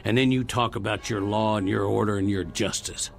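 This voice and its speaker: An actor playing a ruthless, bitter Old West outlaw with a folksy, contemptuous drawl in an old television drama. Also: male voice, on the low side, steady tone, brisk, gravelly, soft background music. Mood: bitter, scornful. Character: ruthless, bitter 1880s western outlaw, hardened and menacing.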